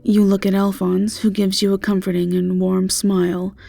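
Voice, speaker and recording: A woman's voice: medium pitch, flat delivery, normal speed, creaky, mellow, no room sound, soft background music. A woman reading scripted second-person narration for a fantasy audio story.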